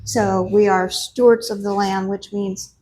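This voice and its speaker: A woman with a low speaking voice introduces herself to a room in a quick, friendly way, checking in with the audience as she goes. A faint hiss of noise sits behind her voice. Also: flat delivery, darkish tone, low-quality recording. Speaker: master gardener presenter.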